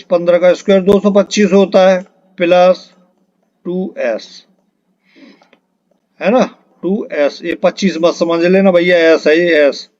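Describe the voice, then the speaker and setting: A man's voice: high-pitched, darkish tone, highs cut off. A man teaching an exam-preparation lesson step by step, explaining patiently and repeating key terms as he works through questions.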